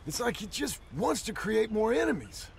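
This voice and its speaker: A man's voice: sits high, sing-song, normal speed, gravelly, slight background noise, heavily acted. An actor playing an anxious, earnest young male outlaw in a video game. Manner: nervous and earnest. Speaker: outlaw gang member.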